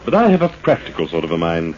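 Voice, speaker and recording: An actor playing a composed, shrewd man-about-town sleuth in a 1940s radio drama, with a measured, dry, educated delivery. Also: deep voice, wildly swooping pitch, a little mellow. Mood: cool, wary. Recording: muffled, hissy 1940s radio recording.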